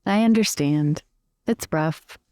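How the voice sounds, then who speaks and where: A woman's voice: sits low, takes it slowly, a little mellow, no room sound. A woman playing a curious, chatty young well-bred woman, talking informally and asking questions in improvised roleplay over music. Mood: friendly interest. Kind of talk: improvised in-character roleplay dialogue.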